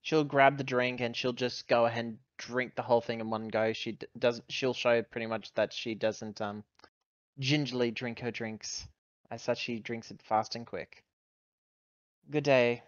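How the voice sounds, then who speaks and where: Mid-pitched tabletop player, dry sound. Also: little pitch movement, normal speed, no room sound, muffled recording. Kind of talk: casual in-game narration.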